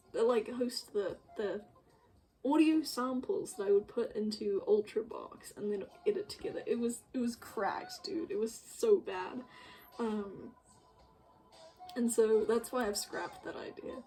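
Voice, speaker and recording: A woman's voice: a little high, a little slow, dark tone, low-quality recording. A woman chatting casually and rambling as she works, giggly and self-deprecating. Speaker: streamer making visual novel artwork. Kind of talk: casual chat while working. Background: music playing behind the voice.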